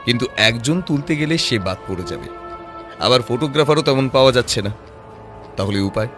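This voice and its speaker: A man playing a composed, sharp-witted private detective in an audio drama, reasoning in a measured voice with rhetorical questions. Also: medium pitch, animated, close to an everyday voice. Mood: calm, explaining. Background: background music.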